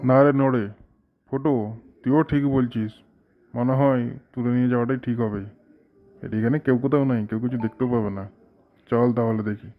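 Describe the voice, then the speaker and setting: A man's voice: medium pitch, fairly even intonation, fairly quick, long pauses, natural voice. A man playing a bossy village elder brother in a comedy cartoon, speaking colloquially and giving short repeated orders. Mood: scheming. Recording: thin phone-like sound with faint noise.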